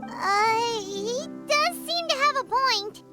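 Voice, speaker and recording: A woman voicing a tiny childlike fairy companion in a video game, squeaky, excitable and a bit anxious. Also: slow pace, over music, heavily acted. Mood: hesitant. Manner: squeaky, exclamatory, refers to self by name.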